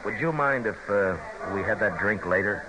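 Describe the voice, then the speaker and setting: A man acting as a stubborn, brooding frontier lawman with a very low voice. He is terse and understated, in the manner of 1950s radio western drama. It is an old radio recording with crowd noise behind. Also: lively intonation, moderate pace, close to an everyday voice, low-quality recording. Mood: brooding, troubled.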